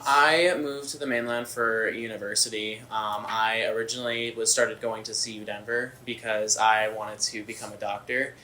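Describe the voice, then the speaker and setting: A man from Hawaii answering interview questions in a steady, matter-of-fact way. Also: on the low side, moderate pace, few pauses, roomy sound, slight background noise, poor audio.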